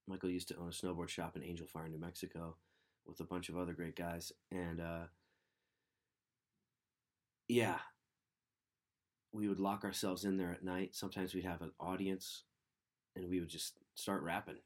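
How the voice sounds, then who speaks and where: Low-voiced guy with a cold, kinda nasal, rambly podcast intro. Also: moderate pace, long pauses. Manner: relaxed, rambling, earnest. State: sniffly with a cold. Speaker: podcast host.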